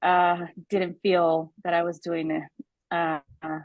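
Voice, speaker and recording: A woman from Colombia, introducing herself hesitantly on a video call with many pauses. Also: fairly low pitch, little pitch movement, no room sound, narrow, tinny audio. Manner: hesitant, many ums and uhs. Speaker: meeting participant.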